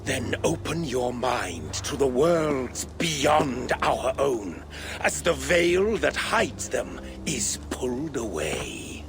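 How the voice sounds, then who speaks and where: Slow, cryptic, gravelly mystic monk. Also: male voice, mid-range voice, sing-song, music in the background. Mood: solemn. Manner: solemn, portentous, deliberate. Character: cryptic mystic monk, a calm spiritual guide. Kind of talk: video game cutscene acting.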